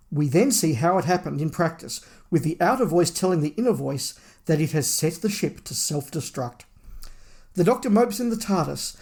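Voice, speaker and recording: A man's voice: a little high, darkish tone. A man co-hosting a review podcast, going through a story and giving his opinion of it. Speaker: podcast host. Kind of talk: book review discussion, partly reading a plot summary.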